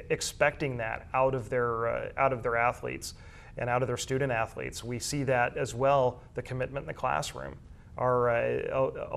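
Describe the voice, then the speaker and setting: An American man talks as a guest in a conversational interview. His voice is mid-pitched with plenty of vocal fry. He is earnest and restates his points for emphasis. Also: fairly bright tone. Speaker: interview guest, high school staff member.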